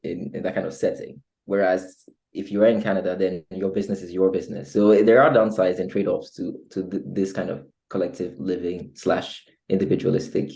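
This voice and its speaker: A man with a Ghanaian accent speaking as an interview guest in casual conversation, measured and diplomatic. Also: low voice, little pitch movement, creaky, darkish tone.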